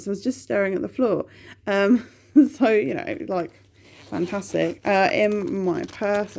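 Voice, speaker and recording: A mellow, slightly gravelly woman in her thirties from the UK talks casually to her camera at home. She sniffles now and then and rambles on, filling gaps with ums and correcting herself. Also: medium pitch, a little hiss or hum. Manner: chatty, rambling, with many ums and self-corrections. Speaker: budgeting vlogger. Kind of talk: casual chat to camera while counting cash.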